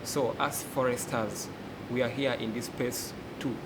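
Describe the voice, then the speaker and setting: A young man from Uganda with a Ugandan accent, speaking earnestly as a forest educator and delegate, often pausing with "uh". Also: mid-range voice, steady tone. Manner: earnest, many "uh" pauses. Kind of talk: interview testimony. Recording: loud background hum.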